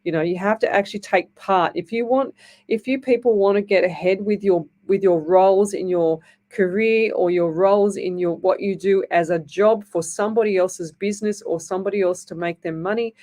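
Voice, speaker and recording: A woman's voice: medium pitch, brisk, warm tone. A woman hosting a live psychic radio show, giving readings in a rambling way with many fillers and restarts. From Australia. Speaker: psychic medium radio host.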